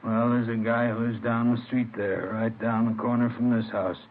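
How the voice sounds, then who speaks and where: An actor playing a hesitant, insinuating neighbour who informs on others in a vintage radio crime drama. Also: male voice, mid-range voice, level tone, normal speed, slight background noise, close to an everyday voice. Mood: wary, insinuating. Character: wary, gossiping male neighbour informing on someone. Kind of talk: 1950s radio drama acting. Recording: tinny old radio recording.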